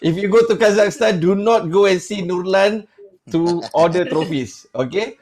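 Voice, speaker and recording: A man's voice: high-pitched, moderate pace, a little mellow. A man hosting an online panel show, chatty and joking, often calling his guests mate. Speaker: host.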